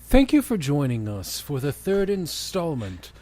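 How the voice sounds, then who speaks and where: A man's voice: fairly high pitch, wildly swooping pitch, unhurried, dark tone. A man hosting a comic dramatic story reading, narrating with sardonic, sweary banter. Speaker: podcast host and reader.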